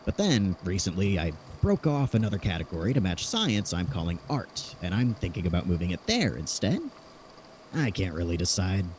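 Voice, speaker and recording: Man acting an animated, rambling eccentric inventor. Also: deep voice, slight background noise. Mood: sheepish, rambling. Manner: halting, rambling, animated explaining. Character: eager rambling inventor cheerfully cataloguing fears, sheepish about deaths. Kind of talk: podfic audio drama acting.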